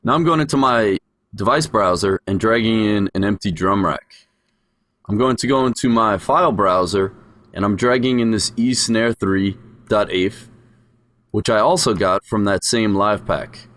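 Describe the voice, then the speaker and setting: A man's voice: fairly low pitch, normal speed, fairly bright tone, no room sound, clean studio sound. A man giving an explanatory voice-over tutorial as a music production instructor.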